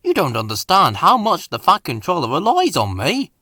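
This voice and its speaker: A man's voice: fairly high pitch, huge pitch swings, unhurried, bright, forceful tone, dry recording, clean studio sound, exaggerated voice. A man voicing a polite, confident and proud male railway engine in a children's story. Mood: eager, proud. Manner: courteous, assured.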